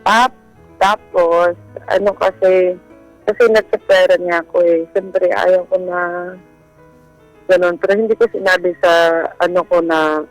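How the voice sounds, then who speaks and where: A woman's voice: lowish voice, steady tone, unhurried, long pauses, poor audio. A woman with a Filipino accent telling a personal story as a guest, in a casual and hesitant style. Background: background music.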